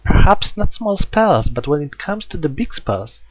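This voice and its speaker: A man voicing an eerily gentle young girl, an assassin spirit, politely and calmly, in an audiobook story. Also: fairly high pitch, moderate pace, no room sound, highs cut off, voice pushed up. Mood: calmly menacing.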